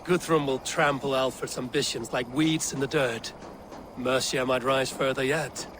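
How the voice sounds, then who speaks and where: An actor playing a loyal, commanding Viking war leader in a video game, measured in manner. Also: male voice, mid-range voice, steady tone, fairly bright tone, natural voice. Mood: resolute. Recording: noisy outdoor ambience behind.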